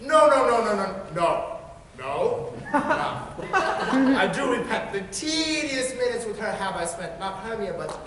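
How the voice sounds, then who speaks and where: A passionate young lover, played by a man declaims stage verse in a fairly high voice, getting louder and softer. He is recorded from far off in an echoey hall with long reverb. Faint noise is heard behind him. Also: expressive, unhurried. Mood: ardent. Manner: declaiming verse with swings of volume. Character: ardent young male lover, fickle and passionate. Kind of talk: stage play performance.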